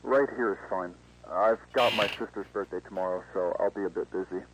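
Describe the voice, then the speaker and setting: A man's voice: sits low, brisk, noisy background, lightly acted. Muffled, hesitant young job applicant, acted by a man. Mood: polite, hesitant. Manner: hesitant, casual. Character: polite, laid-back young man seeking a job. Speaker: voice actor in an analog horror video. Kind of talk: acted phone conversation. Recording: dull, lo-fi phone-call sound.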